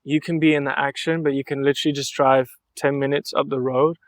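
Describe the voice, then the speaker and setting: A man's voice: medium pitch, flat delivery, fairly quick, dry recording. A young man from Mallorca, an artist being interviewed on a podcast, talking in an earnest, reflective way and thinking aloud in long flowing sentences. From Mallorca, Spain. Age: young adult. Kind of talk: relaxed interview conversation.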